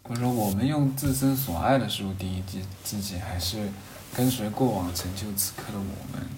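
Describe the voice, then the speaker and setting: A man in a casual, reflective conversation, halting and rephrasing his thoughts as he goes. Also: fairly low pitch, darkish tone. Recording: roomy echo, faint background noise.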